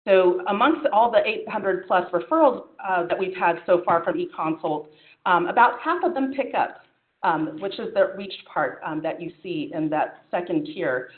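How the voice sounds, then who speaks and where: Low-voiced woman presenting in an echoey room. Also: lively intonation, normal speed. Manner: conversational, many fillers and restarts. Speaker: physician presenter. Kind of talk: conference presentation with Q&A. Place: conference room. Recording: phone-like echoey room sound.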